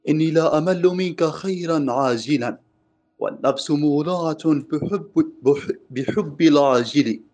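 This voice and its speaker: Shy Algerian caller reciting verse. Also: male voice, a little high, normal speed, a little mellow. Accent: Algerian Arabic. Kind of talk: phone call, poem recitation.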